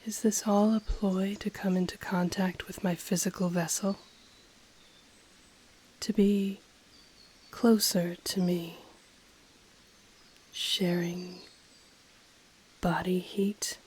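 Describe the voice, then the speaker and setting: Woman as a slow, breathy ancient dragoness. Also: fairly low pitch, dark tone. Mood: flirtatious, amused. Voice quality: breathy, often dropping to a whisper. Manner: slow, deliberate, condescending, teasing. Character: ancient haughty female dragon in human form, teasing, seductive. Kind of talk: intimate audio roleplay, scripted character acting.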